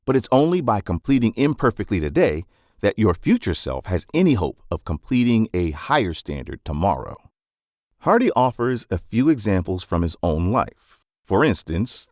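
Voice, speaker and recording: A man reading scripted nonfiction narration in a measured, instructive manner, as for an audiobook summary. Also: low-pitched, a little mellow, dry recording, studio quality.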